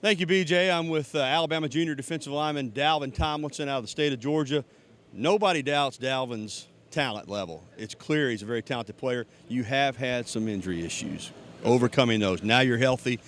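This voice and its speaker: A man's voice: mid-range voice, a little fast, a bit bright. An American man working as a sports reporter, conducting a broadcast interview outdoors. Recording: outdoor field recording, faint ambient noise.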